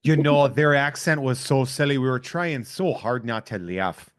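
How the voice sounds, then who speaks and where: A man's voice: mid-range voice, normal speed, no room sound, clean studio sound. A man with a Boston accent, hosting a casual podcast chat in a joking, rambling way. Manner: joking, rambling, drops into a thick local accent for laughs. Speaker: podcast host.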